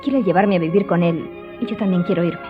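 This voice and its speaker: A woman's voice: lowish voice, brisk, natural voice. An actress playing a devoted, determined young lady in love in a radio drama. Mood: hopeful, resolute. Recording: slightly dull, music behind.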